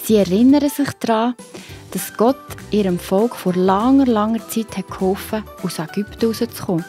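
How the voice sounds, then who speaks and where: A woman's voice: medium pitch, normal speed, mellow, faint music behind. A woman with a Swiss German accent narrating a scripted Bible story for children.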